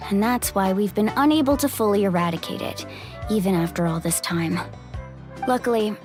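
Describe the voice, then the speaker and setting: A woman's voice: fairly high pitch, lightly acted. A woman playing an upbeat, confident young warrior of a seaside tribe in video game dialogue, easygoing and reassuring, with music behind her.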